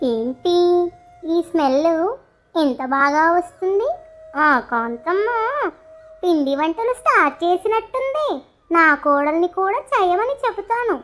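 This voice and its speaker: A woman's voice: extremely high pitch, expressive, a little slow, a bit bright, soft background music, exaggerated voice. A woman voicing a bossy, competitive village mother-in-law in a cartoon moral story. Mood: bossy, eager.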